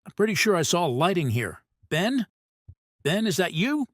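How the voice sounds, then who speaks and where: An actor playing a stern but caring police officer in a horror audio drama. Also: male voice, high-pitched, big pitch swings, moderate pace, dry recording, clean studio sound. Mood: searching, relieved.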